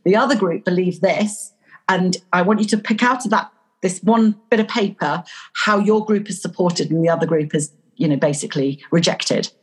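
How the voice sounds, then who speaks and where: A woman from Yorkshire, a coach and guest, talking in an animated storytelling way in a casual interview. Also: fairly low pitch, moderate pace, slightly rough, low-quality recording. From Yorkshire, England.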